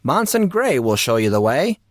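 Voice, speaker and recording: Teenage boy, acted by a man, raised pitch, teasing, excitable, mock-dramatic, audio drama, dry sound. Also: normal speed, dry recording, natural voice. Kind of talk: audio drama character dialogue.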